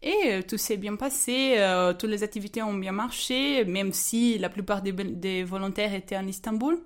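A woman's voice: medium pitch, normal speed. A woman in her twenties from Portugal, speaking French with a Portuguese accent as a non-native, telling a personal story hesitantly with many fillers and restarts. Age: 20s. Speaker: guest storyteller. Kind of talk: casual personal testimony.

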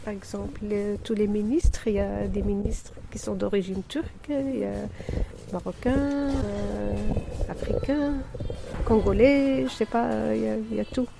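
A woman's voice: mid-range voice, steady tone, moderate pace, vocal fry, over music, low-quality recording. A woman giving an informal opinion as an interviewee, often repeating herself as she searches for words. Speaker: street interviewee.

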